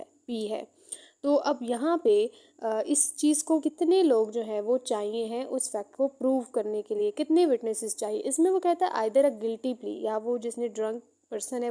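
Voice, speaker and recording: A woman with a Pakistani accent giving an explanatory law lesson, mixing two languages and slowing down to read out points before explaining them. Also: fairly high pitch, warm tone. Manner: code-switches between Urdu and English, slows down when reading out. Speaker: law lecturer.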